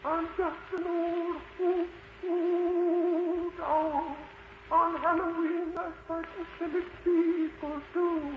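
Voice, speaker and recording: High-pitched, friendly old hoot owl. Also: male voice, level tone, slow, reverberant. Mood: reassuring, spooky. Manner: hooting, reassuring. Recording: muffled lo-fi audio with clear noise.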